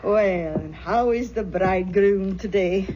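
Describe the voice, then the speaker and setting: A woman's voice: medium pitch, measured pace, mellow, slight background noise, natural voice. A woman acting a kindly, worried old aunt in an old-time radio drama, with a hesitant, folksy way of talking. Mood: kindly, concerned. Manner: hesitant, folksy, quaint turns of phrase. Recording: dull lo-fi radio recording.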